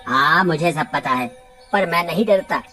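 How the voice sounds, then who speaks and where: A woman's voice: low-pitched, normal speed, sharp tone, faint music behind, pitched below the performer's own voice. A woman voicing a shifty, boastful village man in a cartoon, with a smug manner. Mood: defensive.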